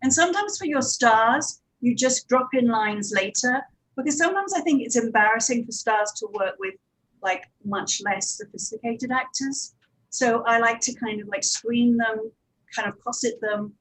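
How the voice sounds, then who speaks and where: A woman's voice: on the high side, lively intonation, moderate pace, a bit bright, husky, rough recording. A woman producer and director talking informally on a panel, in a conversational, hedging way.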